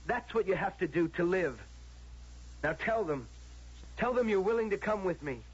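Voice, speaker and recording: A man's voice: high-pitched, animated, normal speed. An actor playing a desperate, pleading man in a radio drama, coaxing urgently and hesitantly. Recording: muffled old radio broadcast recording.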